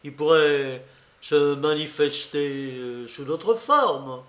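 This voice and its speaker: A sly, needling examining magistrate from an audiobook, acted out by a man. He sounds falsely jolly and overly familiar, toying with his suspect. The voice is slightly high, slow and set in a reverberant room. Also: a bit bright. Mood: mocking, jovial. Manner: goading, chuckling, theatrically familiar. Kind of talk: dramatized audiobook reading. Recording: roomy, echoing voice recording.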